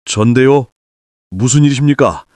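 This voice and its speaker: An aging, dutiful father, acted by a male narrator in an audiobook drama. He is anxious and polite, pleading in formal speech with his pitch pushed up. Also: moderate pace, darkish tone, no echo, clean studio sound, close to an everyday voice. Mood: anxious, bewildered.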